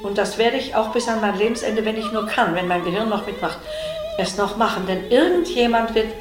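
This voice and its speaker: A woman's voice: medium pitch, a little fast. A woman making an impassioned personal statement. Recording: echoey, music behind. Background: opera music.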